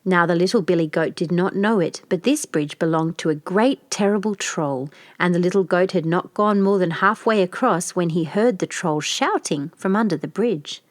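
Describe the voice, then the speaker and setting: A woman reading a scripted children's fairy tale as an expressive storyteller. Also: fairly low pitch, normal speed, hardly any pauses, dry recording.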